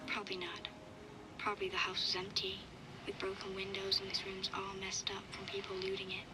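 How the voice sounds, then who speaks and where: A woman playing a wary, reflective young girl who narrates an audio diary in a measured, matter-of-fact way. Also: medium pitch, completely flat delivery, loud music behind the voice, lightly acted, rough recording. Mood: wistful, uneasy. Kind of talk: first-person audio log narration.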